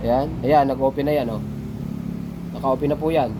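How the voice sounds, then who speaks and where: A man's voice: medium pitch, steady tone, moderate pace. A man with a Filipino accent hosts a hands-on tutorial in casual, informal chat, addressing viewers like buddies. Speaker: tutorial host. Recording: noisy vehicle and street ambience.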